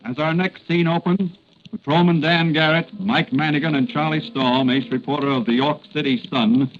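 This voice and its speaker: A man's voice: a little high, fairly even intonation, normal speed, faint music behind. A man narrating a radio drama in scripted 1940s style. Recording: dull noisy old radio recording.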